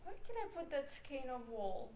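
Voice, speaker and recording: A woman's voice: high-pitched, sing-song, moderate pace, warm tone. A woman in an amateur stage play acting a stern, prim elderly spinster with a matter-of-fact manner. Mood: prim, fussy. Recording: echoey, noisy amateur stage play recording.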